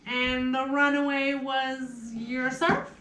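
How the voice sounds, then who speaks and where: Woman as puppet-show office clerk, very high voice, officious, chatty, fussy, echoey distant recording. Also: level tone, slow pace, sharp tone, slight background noise, exaggerated voice. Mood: brisk. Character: prim, chatty, officious newspaper advertisement clerk. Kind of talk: theatrical puppet show acting. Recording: roomy, distant rehearsal room recording.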